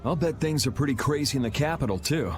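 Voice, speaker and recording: A man's voice: medium pitch, expressive, fast talker, close to an everyday voice. A weary young adventurer in a game cutscene, played by a man. He talks casually in a mid-range voice, over faint music.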